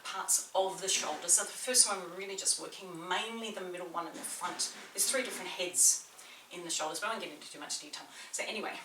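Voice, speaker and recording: A woman's voice: on the low side, fast, few pauses, background noise. Chatty trainer woman, slight Kiwi accent. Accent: slight New Zealand. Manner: chatty, encouraging, talks a lot. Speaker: personal trainer. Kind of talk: guided workout instruction. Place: small indoor room. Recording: roomy echo, distant mic, faint ambience.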